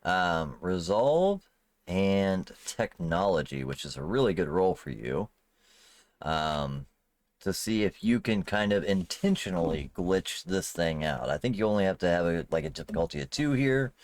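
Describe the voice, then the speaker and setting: A man's voice: low-pitched, expressive, moderate pace, no echo. A man improvising narration as game master of a tabletop role-playing game, in a conversational way, often checking that he is understood. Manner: conversational, often asks "you know what I mean".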